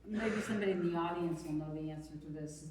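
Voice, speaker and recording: A woman with a fairly low voice talks casually in a group discussion. She is picked up from a distance in a very echoey room. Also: fast, background noise. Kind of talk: casual chat. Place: indoor hall with an audience.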